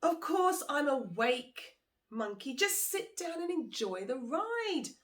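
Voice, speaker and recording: Lady doing a sly storybook crocodile guy, kinda guilty and sorry, a bit raised in pitch. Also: big pitch swings, slow, heavily acted. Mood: placating. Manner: reluctant, apologetic. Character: guilty, scheming male crocodile with a sick wife.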